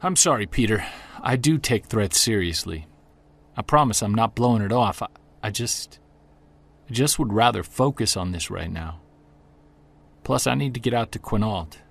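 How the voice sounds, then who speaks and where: An actor playing an earnest, driven middle-aged investigator in an audio drama, speaking intently. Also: male voice, lowish voice, lively intonation, normal speed, vocal fry, long pauses. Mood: defensive. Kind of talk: audio drama narration and dialogue.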